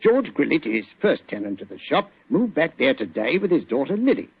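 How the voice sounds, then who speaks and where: A man playing a chatty working-class London police inspector with a rough Cockney voice, colloquial and deferential, in 1940s radio drama style. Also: a little high, very animated, fairly quick, full character voice. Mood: animated, confiding. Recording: tinny, echoey old radio recording.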